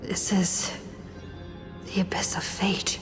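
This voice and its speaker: A woman playing a hushed, curious observer of old memories. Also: mid-range voice, level tone, slow pace, close to an everyday voice. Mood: hushed, wondering. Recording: loud music behind the voice.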